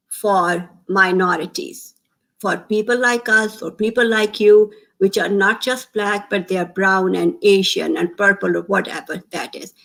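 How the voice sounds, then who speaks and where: A woman in her fifties from Pakistan with a Pakistani accent, a town comptroller talking earnestly as an interview guest, pausing often with "um". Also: mid-range voice, vocal fry, fairly bright tone. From Pakistan (Lahore, Punjab). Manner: earnest, many "um" pauses, emphatic lists. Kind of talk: candid interview talk.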